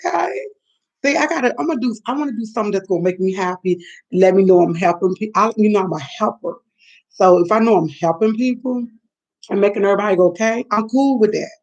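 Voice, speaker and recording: A woman's voice: medium pitch, fast, a little mellow. Woman, fifties, late-night livestream host, rambling talk to chat, dull lo-fi sound. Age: 50s. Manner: rambling, chatty, reacts to viewer comments.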